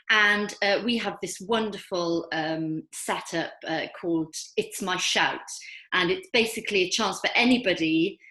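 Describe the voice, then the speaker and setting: A woman's voice: lowish voice, pushed and bright. An older Welsh woman whose first language is Welsh, a filmmaker answering questions in an online festival Q&A, hesitant with many ums but enthusiastic. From Wales. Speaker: filmmaker guest. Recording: echoey room.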